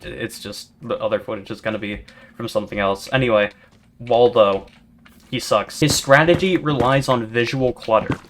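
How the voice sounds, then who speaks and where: Man, monotone, rambling gaming commentary, lots of fillers, noisy background. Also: mid-range voice, moderate pace. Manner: rambling, self-described yapper with many fillers and restarts. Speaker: gaming video creator. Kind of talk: rambling commentary over gameplay. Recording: steady background noise.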